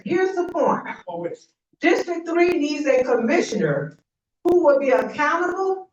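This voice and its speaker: A woman's voice: high-pitched, a little hiss or hum. Confident middle-aged woman rallying a room. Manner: confident, rallying, banters with the audience. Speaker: county commissioner. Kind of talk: campaign speech at a forum. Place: community meeting room. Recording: echoey, distant and dull room recording.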